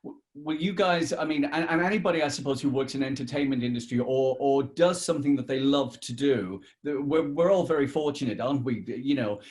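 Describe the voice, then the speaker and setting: A man's voice: mid-range voice, fairly quick, few pauses, a little mellow. A British man interviewing in a conversational, slightly rambling way, often repeating words as he feels toward his question. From United Kingdom. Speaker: radio interviewer.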